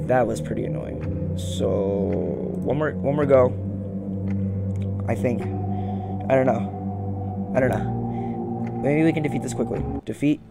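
A man's voice: mid-range voice, vocal fry. A young man giving casual gameplay commentary, muttering to himself and repeating phrases. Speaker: gaming video host. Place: indoor room, beside a plain wall. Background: game music.

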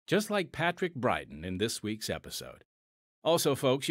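A man hosting a podcast in scripted narration, theatrical and folksy, with dramatic pauses. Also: mid-range voice, no room sound, studio quality. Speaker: podcast host and announcer. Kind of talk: scripted narration, radio host. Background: music.

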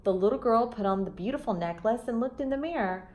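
A woman's voice: a little high, lively intonation, quick pace, a little mellow. A woman in her thirties reading a children's storybook expressively, with teacherly asides, recorded indoors at home. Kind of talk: expressive picture-book read-aloud. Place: front room of a house, indoors.

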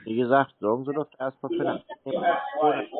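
A man's voice: medium pitch, racing, a crowd in the background. A man, stubborn and complaining in a casual, provocative phone conversation. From Germany. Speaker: prank call recipient baiting phone scammers. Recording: tinny, narrow phone line.

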